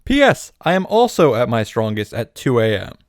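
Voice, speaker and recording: A man's voice: medium pitch, very animated, moderate pace, dry recording. A man narrating a comedic scripted recap as a video host, wry, playful and casually irreverent.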